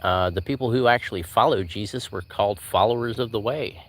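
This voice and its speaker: A man's voice: fairly low pitch, a little fast, fairly bright tone. A man in his forties chatting on a casual live stream while walking outdoors at night, easygoing and rambling, answering viewers by name. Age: 40s. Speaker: livestream host.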